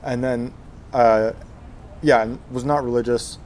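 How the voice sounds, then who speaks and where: A man in his twenties from Texas, telling his life story in an interview, halting with many fillers and restarts. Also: mid-range voice, steady tone, darkish tone, slight background noise. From Texas, USA. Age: 20s. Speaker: guest, Zen monk.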